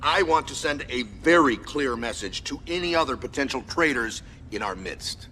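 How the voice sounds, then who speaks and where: An actor playing a stern, authoritative military commander who gives clipped, formal mission briefings in a video game. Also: male voice, medium pitch, expressive, normal speed, bright, forceful tone, long reverb tail, a little hiss or hum.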